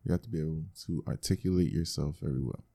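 A young man co-hosting a casual chat, talking loudly and profanely with lots of repeats and hype. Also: rumbling low, fast, creaky. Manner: loud, profane, animated storytelling. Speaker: podcast co-host.

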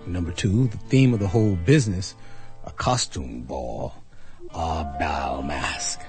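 A man's voice: low-pitched, a little slow, music in the background. An actor playing a wry, skeptical artist who recounts his story in colloquial first-person radio drama narration. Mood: wry, reluctant.

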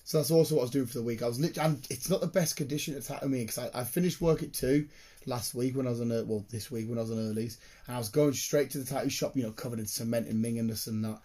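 A British man chatting casually in a rambling, excitable, sweary way, with a slightly croaky voice. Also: medium pitch, fast talker. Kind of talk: casual chat, rambling anecdotes.

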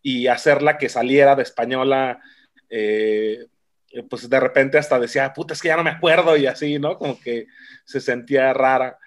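A man's voice: mid-range voice, steady tone, normal speed. A Mexican man, a film director, chatting casually in an interview, with many fillers and restarts.

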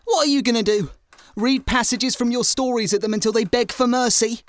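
A woman playing a gruff, dismissive working-class English character in a comedy audio drama, blunt and impatient. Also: a little high, brisk, natural voice. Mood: scornful.

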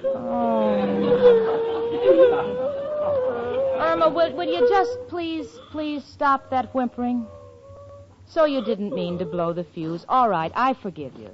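A woman's voice: high voice, expressive, a little slow. An actress playing a wry, long-suffering young woman in a 1940s radio sitcom. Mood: exasperated. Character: exasperated, wisecracking young female roommate. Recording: muffled old radio, studio audience, hiss.

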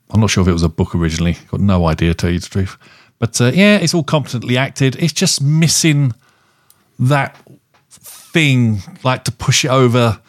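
Low-pitched man, rambling film chat. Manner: rambling, halting and hedging, circles back over phrases. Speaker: podcast co-host reviewing films. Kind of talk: casual film review chat.

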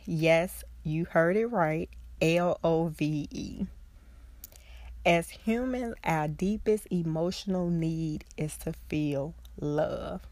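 Congested woman host, slow and low. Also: darkish tone, no echo. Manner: earnest, heartfelt, slow and reflective. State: congested with a cold. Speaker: podcast host, social worker. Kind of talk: casual solo podcast talk.

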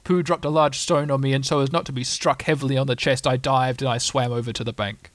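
A man voicing a gloomy, aggrieved storybook donkey with a slow, deadpan, sarcastic way of complaining. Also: mid-range voice, no room sound, close to an everyday voice. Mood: aggrieved, indignant.

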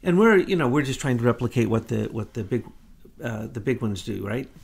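A middle-aged man co-hosting a political commentary talk, talking fast and insistently. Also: medium pitch, lively intonation, creaky, breathy edge. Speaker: co-host.

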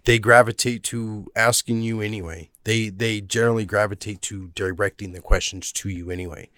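A man with a deep, low voice chats casually about family life. He talks bluntly, swears freely and laughs often. The sound is dry and close to the mic. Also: steady tone, moderate pace, pushed and bright, dry recording. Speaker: father, co-host. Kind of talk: casual chat.

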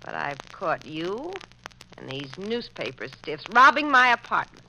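A woman's voice: lowish voice, very animated, a little slow, pushed and bright, heavily acted. An actress playing a vengeful, dangerous woman crook with slangy, threatening talk in a 1940s radio drama. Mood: angry, threatening. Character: hard-boiled, vengeful female crook, brainy and dangerous. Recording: noisy, crackly old radio recording.

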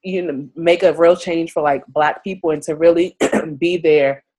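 A woman's voice: low-pitched, fairly even intonation, normal speed, a little mellow. Stuffy-voiced woman with a cold. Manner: frequent throat clearing; chatty, many "like" and "you know" fillers. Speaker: journalist guest. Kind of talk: casual interview conversation.

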